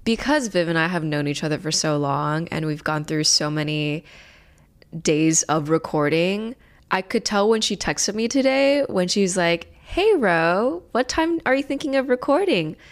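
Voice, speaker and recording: A woman's voice: on the low side, lively intonation, unhurried. A young woman co-hosting a podcast in casual chat, playful and giggly. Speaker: podcast co-host.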